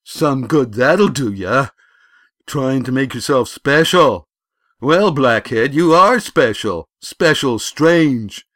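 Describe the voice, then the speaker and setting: A man voicing a loud, bullying, heavy-set village boy in a storybook, with rough, taunting speech. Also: medium pitch, animated, slow pace, dry recording, studio quality, pitched above the performer's own voice. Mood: mocking. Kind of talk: storybook character voice.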